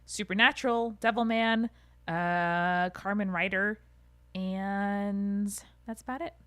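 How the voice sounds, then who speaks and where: A woman's voice: mid-range voice, fairly even intonation, slow pace, fairly bright tone, dry recording. A woman co-hosting a podcast, talking in a casual, chatty style. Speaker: podcast co-host. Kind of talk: casual chat.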